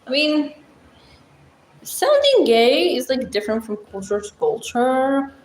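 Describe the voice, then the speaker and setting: Slow-talking Moroccan woman, chatty and blunt. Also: huge pitch swings, echoey room, slight background noise, poor audio. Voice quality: deep-voiced. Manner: chatty, blunt, laughs often, thinks aloud. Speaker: livestream host. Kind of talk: casual livestream chat reading comments.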